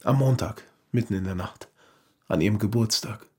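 Nervous polite man in a radio crime play, husky breathy voice, hesitant and earnest. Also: fairly low pitch, moderate pace, darkish tone. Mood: anxious, earnest. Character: David Sullivan, uneasy, well-mannered man confessing an affair. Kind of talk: German crime radio drama acting.

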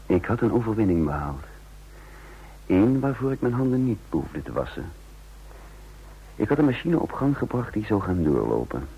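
An actor playing an uneasy impostor agent in an old radio drama, narrating his intrigue in a deliberate, hushed manner. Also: on the low side, very animated, husky, full character voice. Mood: reflective narrating. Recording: muffled old radio play recording.

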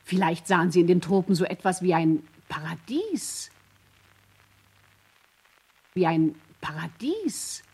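A woman's voice: lowish voice, lively intonation, takes it slowly, pauses often, warm tone, slightly rough, heavily acted. An actress playing a female witness giving testimony in a radio play. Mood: reflective. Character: female witness recalling an acquaintance's family.

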